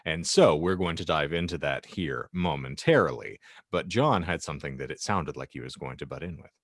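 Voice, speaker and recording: A man's voice: extremely low pitch, expressive, a little fast, no echo, clean studio sound. An American man hosting a video podcast in a jokey, wordy, playful mock-formal style. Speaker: podcast host.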